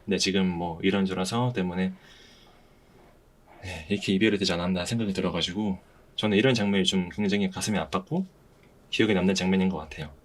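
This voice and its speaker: A man's voice: low voice, quick pace. A man hosting a casual podcast discussion, speaking in an earnest, hesitant way. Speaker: podcast host.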